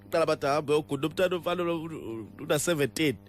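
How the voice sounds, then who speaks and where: A man's voice: a little high, rapid-fire, soft background music, close to an everyday voice. A South African Zulu man playing an indignant, stubborn father on a prank phone call, stammering and repeating himself as he argues. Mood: indignant, confused. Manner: stammering, repetitive, argumentative. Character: indignant, stubborn, rambling father complaining about his son's school. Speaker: radio prank caller.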